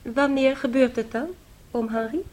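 An actress playing a prim, inquisitive young woman visiting relatives in a radio drama, polite and quietly insistent. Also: a little high, steady tone, normal speed, lightly acted. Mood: suspicious, probing.

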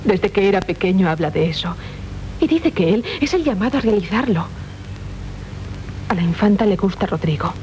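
A woman's voice: animated, normal speed, long pauses, darkish tone, natural voice. An actress playing a proud, impetuous young noblewoman of medieval Castile in theatrical radio drama, with a Castilian Spanish accent. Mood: thoughtful. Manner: haughty, impetuous, melodramatic. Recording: noisy, rumbling 1970s radio recording.

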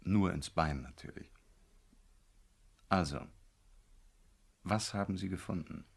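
Villain role: mocking, falsely courteous gangster, deep voice with heavy fry, commanding, radio thriller. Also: male voice, takes it slowly, heavily acted. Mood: smug, menacing. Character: smug, mockingly polite male gangster with a revolver. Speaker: actor playing the villain. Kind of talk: 1970s German radio crime drama acting.

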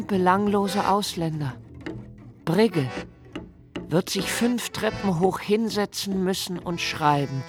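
An actor playing the insistent, ironic inner voice of a troubled young writer, emphatic and rhetorical, in a radio play. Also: male voice, high-pitched. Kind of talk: literary radio play monologue. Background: music.

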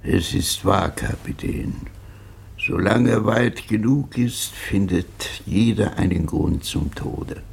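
An actor playing a grave, philosophical tavern guest in a radio play, measured and probing, with a creaky voice. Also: male voice, exaggerated voice. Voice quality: deep, very creaky. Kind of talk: German radio play acting.

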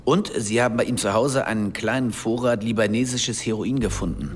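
An actor playing a deferential loyal aide. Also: male voice, lowish voice, a little fast, close to an everyday voice. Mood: reporting. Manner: matter-of-fact, deferential. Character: loyal male aide reporting news. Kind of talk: radio drama acting. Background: music.

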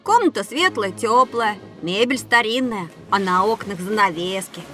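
A woman voicing an inquisitive, excitable young boy in a children's audio drama. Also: mid-range voice, normal speed, faint music behind. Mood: curious.

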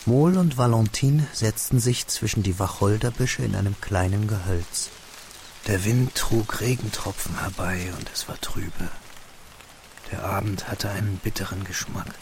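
An actor playing the narrator of a literary radio drama, telling the story of a diary in a sombre, measured way. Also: male voice, on the low side, slight background noise. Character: sombre male narrator piecing together a friend's diary.

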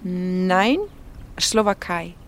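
An actress playing a blunt, playful young Slovak doctoral student with a Slovak accent in an audio drama. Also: female voice, on the low side, fairly even intonation, extremely slow, faint music behind. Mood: teasing. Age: young adult. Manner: blunt, teasing, quirky non-native phrasing.

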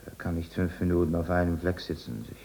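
An actor playing a dutiful, stern senior orderly, giving a formal report in a 1950s German radio drama. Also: male voice, deep voice, fast talker, creaky, darkish tone. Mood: matter-of-fact.

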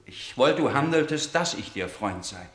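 A man's voice: mid-range voice, lively intonation, moderate pace, natural voice. An actor playing a defiant young prince arguing with his father in a verse radio play. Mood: earnest. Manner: earnest, defiant. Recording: roomy studio echo.

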